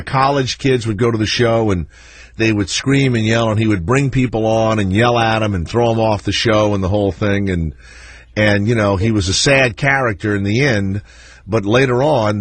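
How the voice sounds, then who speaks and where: A man's voice: lowish voice, normal speed, no room sound. A middle-aged man hosting a call-in radio show, joking loosely and rambling with restarts. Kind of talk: casual call-in radio banter. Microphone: studio microphone.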